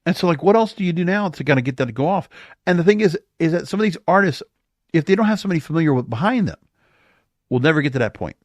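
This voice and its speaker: A man's voice: fairly high pitch, lively intonation, quick pace, dry recording. A man hosting a podcast, giving opinionated music industry commentary in a conversational, emphatic way, sometimes reading aloud. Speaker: podcast host.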